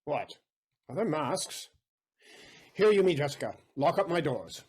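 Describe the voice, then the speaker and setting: Guy doing suspicious old miser dad from a shakespeare audio play, husky voice, bitter. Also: a little high, very animated, unhurried, a little mellow, full character voice. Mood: stern warning.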